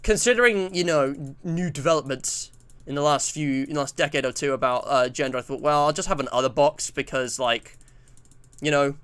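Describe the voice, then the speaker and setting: A man's voice: a little high, brisk, fairly bright tone, smooth. A twenty-year-old English man chatting casually on a livestream, rambling and often interrupting himself as he reads and comments. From England. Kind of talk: casual livestream chat, reading out survey and viewer messages.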